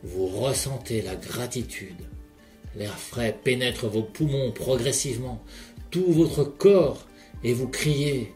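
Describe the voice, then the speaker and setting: A man leading a guided relaxation session in a calm, measured voice, speaking directly to the listener. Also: mid-range voice. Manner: calm, measured second-person guiding. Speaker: hypnotherapist guide. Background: soft background music.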